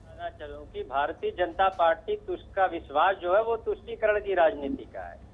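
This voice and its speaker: Phone-like sound, high-voiced man, party spokesman, political debate argument. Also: expressive, moderate pace, slight background noise. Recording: thin phone-like remote link.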